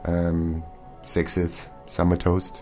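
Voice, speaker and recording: A man's voice: deep voice, completely flat delivery, extremely slow, a little mellow. A man in his forties, raised in Montreal, talks as a guest musician in a radio studio, answering earnestly and hesitantly with many ums. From born in Armenia, raised in Montreal. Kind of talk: casual interview answers. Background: faint ambient music.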